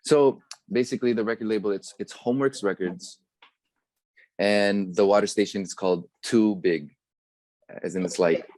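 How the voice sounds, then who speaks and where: A young man with a low, deep voice and an American accent chats easily over a video call, dropping bits of Tagalog into his English. The sound is dry and close, with little room echo. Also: moderate pace. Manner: relaxed, mixes Tagalog phrases into English. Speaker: guest performer and entrepreneur. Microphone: video call webcam.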